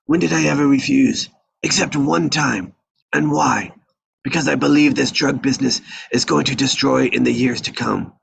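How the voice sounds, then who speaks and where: A man playing an aging, dignified mafia don in a live table read, with a gravelly, raspy voice. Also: mid-range voice, moderate pace, poor audio. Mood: firm, reasoning.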